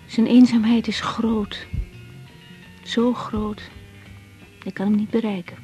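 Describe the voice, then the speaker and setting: An actress playing a caring, reflective young woman doctor in a radio drama, gentle and slightly hesitant. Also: a little high, flat delivery, takes it slowly, faint music behind. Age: young adult. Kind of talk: radio drama dialogue and diary-like narration.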